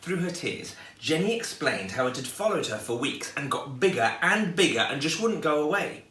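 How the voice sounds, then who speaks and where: A man reading a children's story aloud in an expressive storytelling style. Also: a little high, normal speed, a little hiss or hum. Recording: echoey room, distant microphone.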